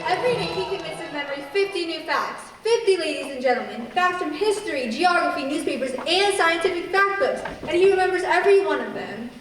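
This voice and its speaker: A woman's voice: very high voice, expressive, a little fast, hardly any pauses. A woman playing a grandiose music hall master of ceremonies in a radio play, formal and projecting to the audience. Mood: grand showmanship. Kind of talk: old-time radio play, stage announcing. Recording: echoey hall, distant, noisy crowd.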